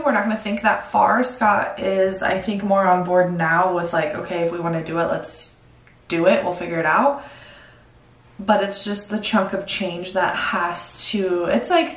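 A young woman talking candidly to the camera in a casual home vlog, chatty and full of fillers. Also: mid-range voice. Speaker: vlogger. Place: home bathroom, indoors. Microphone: far camera. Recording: roomy echo from far off.

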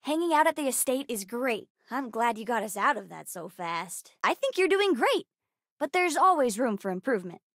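A woman voicing a bright, bookish, earnest young girl in a video game, chatty and eager in her delivery. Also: a little high, very animated, moderate pace, no echo, clean studio sound. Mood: excited, upbeat. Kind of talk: scripted video game voice lines.

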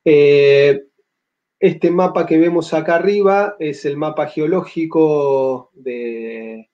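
A man in his thirties with an Argentine accent, giving a measured academic slide talk over a video call, pausing often with filler sounds. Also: fairly high pitch. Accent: Argentine Spanish. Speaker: paleontology lecturer. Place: home room on a video call. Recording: slightly dull lo-fi audio.

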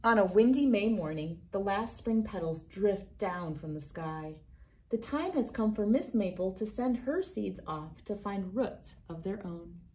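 Woman, thirties, children's read-aloud host, expressive storybook delivery, mid-range voice. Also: mid-range voice, measured pace. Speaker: host reading a picture book aloud.

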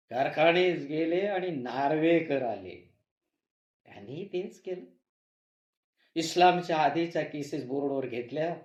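Anguished stage narrator played by a man, declamatory and impassioned, deliberate pacing, higher-set voice, roomy echo. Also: highs cut off. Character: anguished male narrator crying out for justice. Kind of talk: theatrical monologue in a play reading. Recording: echoey room recording.

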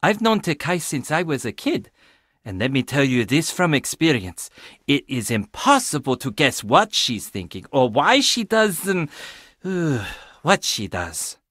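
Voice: mid-range voice, big pitch swings, measured pace, fairly bright tone, no room sound, studio quality. An actor playing a quirky, chatty young handyman who loves puzzles in a video game, enthusiastic with sardonic, casual asides. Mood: wry, confiding. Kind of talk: video game character dialogue.

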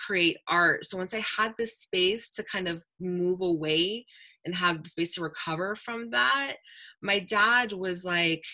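Bright-voiced young woman, earnest and reflective. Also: lowish voice, unhurried. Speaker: panel speaker, writer. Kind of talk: casual panel talk. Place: indoor room with a virtual backdrop. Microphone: webcam on a video call.

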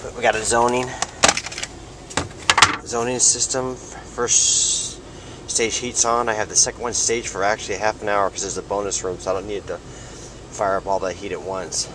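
A man's voice: lowish voice, little pitch movement, moderate pace. A man working as an HVAC technician, narrating casually in short muttered remarks as he films equipment around a house. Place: house with an attic and a backyard. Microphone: handheld camera mic. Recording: loud machine noise behind the voice.

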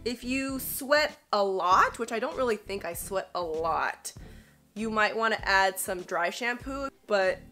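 A woman's voice: medium pitch, animated, measured pace, sharp tone, faint music behind. A woman in her thirties giving a chatty, upbeat hair tutorial to the camera in a small studio. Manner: chatty, upbeat, coaching viewers. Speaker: beauty vlogger. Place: small indoor studio with grey backdrop.

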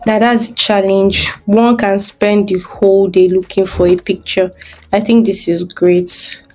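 Slow, thinking-aloud woman design tutor. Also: medium pitch, level tone, a little mellow, poor audio. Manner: thinking aloud step by step while working. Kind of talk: screen-recorded software tutorial.

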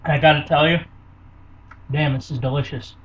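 Hammy high-voiced man. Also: moderate pace, far from the mic. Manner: energetic, hammy showman patter. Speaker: cooking show host. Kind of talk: casual home cooking video. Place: small home kitchen, indoors. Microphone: camera mic close by. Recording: dull lo-fi webcam audio from a bit off-mic.

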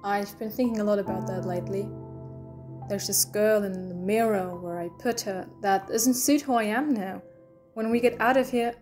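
Eccentric, chatty alien heroine played by a woman for a sci-fi audio play, medium pitch over clear music. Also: normal speed, a little mellow, close to an everyday voice. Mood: reflective. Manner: rambling, eccentric. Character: quirky, talkative, self-doubting alien time traveller woman. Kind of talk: fan audio drama acting.

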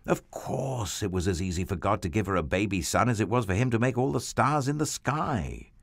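Man, deep voice, lively melody, unhurried, children's storybook narration, dry close sound. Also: vocal fry, no echo. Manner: slow, expressive, sweeping storytelling.

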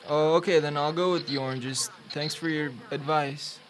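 A man playing a polite, helpful, casual stranger in an amateur radio play, with a measured, courteous delivery. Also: a little high, steady tone, lightly acted. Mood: politely declining. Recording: noisy radio play, clear background ambience.

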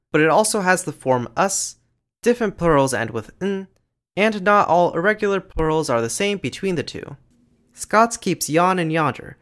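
A man giving scripted educational narration over slides, in a lively, explanatory way. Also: sits high. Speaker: language channel narrator.

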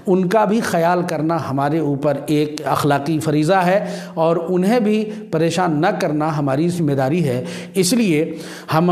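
A man in his thirties with a slightly high voice gives religious guidance. He speaks formally and explains each point in a measured way, like a cleric addressing his viewers. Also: darkish tone. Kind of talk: religious advice talk to camera.

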